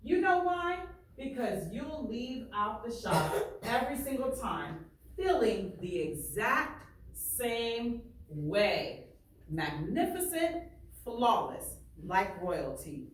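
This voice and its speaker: A woman teacher speaks slowly and deliberately, repeating phrases and questions for emphasis as she addresses a class. Her voice comes from a distance in a very echoey room, with faint noise. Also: fairly high pitch, very animated. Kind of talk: read-aloud and class questioning. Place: indoor classroom.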